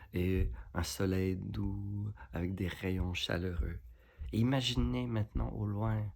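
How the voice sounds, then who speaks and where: Male meditation guide: very low, creaky register, soothing and unhurried, leaving pauses between instructions. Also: darkish tone. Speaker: yoga nidra instructor. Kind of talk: guided relaxation meditation, speaking to listeners.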